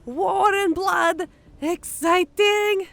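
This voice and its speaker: A woman voicing an eager, excitable female adventurer who gleefully exclaims about battle in an audiobook. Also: very high voice, extremely slow, creaky, no room sound, exaggerated voice. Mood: excited, gleeful. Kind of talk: audiobook character acting.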